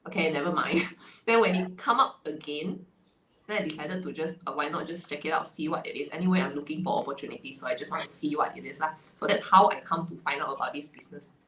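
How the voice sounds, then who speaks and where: A woman's voice: deep voice, fast, a bit bright. A woman from Malaysia with a Malaysian English accent, chatting as an interview guest and laughing often between phrases. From Malaysia (Sarawak). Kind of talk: casual interview chat. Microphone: video call. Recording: echoey room, faint ambient noise.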